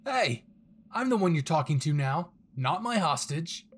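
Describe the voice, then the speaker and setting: A man's voice: fairly high pitch, big pitch swings, a little slow, slightly rough, pitched above the performer's own voice, heavily acted. A man voicing a cruel, sneering male villain henchman in an anime fan audio drama, theatrically mocking and taunting. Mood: threatening.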